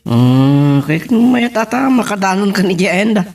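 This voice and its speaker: A man's voice: high voice, sing-song, a little slow, a little mellow, full character voice. A man playing an anxious husband in an amateur audio drama, talking hesitantly and conversationally. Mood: surprised. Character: anxious Ilocano husband left at home with the children.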